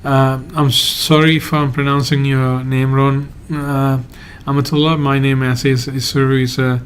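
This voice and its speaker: A man from Sri Lanka, a migration agent hosting a live Q&A and answering viewer questions in a halting, hedging way. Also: medium pitch, flat delivery, slight background noise. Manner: halting, hedges often, reads out viewer questions.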